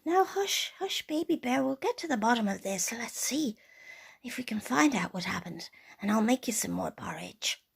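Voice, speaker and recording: Woman as a motherly, concerned, high-voiced mummy bear. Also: lively intonation, moderate pace, vocal fry, husky, exaggerated voice. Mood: soothing. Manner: gently hushing, concerned.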